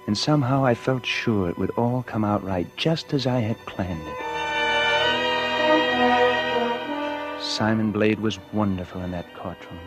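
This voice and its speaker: Male actor: remorseful murderer-narrator, low register, 1940s radio noir, musical backing. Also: lightly acted. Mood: brooding, tense. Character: guilt-ridden murderer confessing, narrating his own downfall. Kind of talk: 1940s radio drama first-person narration. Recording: 1949 radio recording with music.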